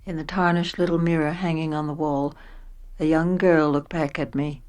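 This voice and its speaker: A woman's voice: sits low, fairly even intonation, moderate pace, a little mellow, clean tone, lightly acted. An actress playing an introspective, wondering middle-aged woman who narrates her own story in a radio play, reflective and self-questioning. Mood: dazed, pensive.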